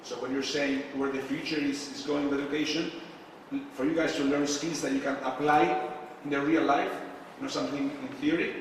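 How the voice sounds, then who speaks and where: A man from Argentina giving a casual talk to a live audience, with many filler pauses and questions put to the crowd. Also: on the high side, steady tone, a little hiss or hum. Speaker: conference speaker. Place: event space with a live audience. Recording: echoey hall, distant mic.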